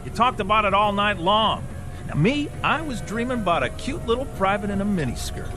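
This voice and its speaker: A crude, wisecracking soldier with a fairly high, very lively voice. He grumbles and jokes in the same breath. Clear music plays behind him in this drama. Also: fairly quick. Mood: irritable. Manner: grumbling, joking.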